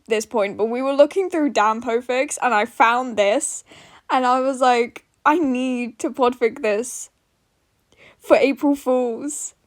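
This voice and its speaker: Woman, high voice, casual chat, giggly, self-deprecating, informal, very dry recording. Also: dry recording. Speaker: podfic narrator.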